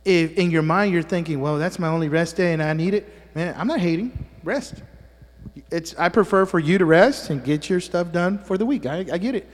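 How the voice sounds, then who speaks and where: A man's voice: high voice, normal speed, big-room sound. A man preaching a sermon as pastor to his congregation, a native Spanish speaker who teases people with joking asides and keeps checking in with "amen?". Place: church sanctuary.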